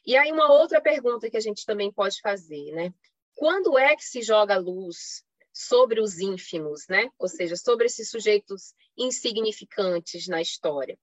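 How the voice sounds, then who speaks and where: A woman's voice: medium pitch, expressive, measured pace, no room sound. A woman with a Brazilian Portuguese accent giving an explanatory academic talk online, often posing rhetorical questions and checking in with short tags. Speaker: academic panelist giving a presentation. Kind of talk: academic online lecture with slides, semi-improvised. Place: online live stream. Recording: slightly dull lo-fi online call audio.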